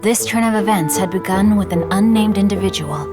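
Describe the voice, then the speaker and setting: A woman playing a composed storyteller in a fantasy video game, measured and formal. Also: medium pitch, natural voice. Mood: grave. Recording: music behind the voice.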